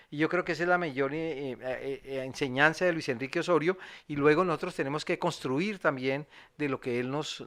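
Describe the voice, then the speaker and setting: Male theatre commentator, fairly high and very bright voice, discursive and reflective, seeks agreement. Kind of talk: reflective spoken analysis.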